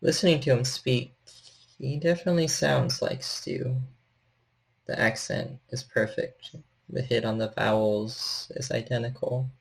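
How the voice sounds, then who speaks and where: Male storyteller, gravelly vocal fry, medium pitch, measured descriptive narration, tabletop horror game master. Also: fairly even intonation.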